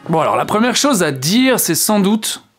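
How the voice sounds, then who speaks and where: A man's voice: sits high, very animated, normal speed, soft background music. A man, a French speaker, hosting a casual video lesson. He talks chattily, fills gaps with hesitations and keeps asking the viewer questions. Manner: chatty, asks the viewer questions, many fillers. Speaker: English teacher, channel host.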